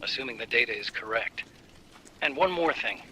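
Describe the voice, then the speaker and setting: Mission briefer, sharp bright tone, brisk and informative. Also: male voice, mid-range voice, expressive, slight background noise, rough recording. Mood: urgent. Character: male support operator briefing a soldier by radio.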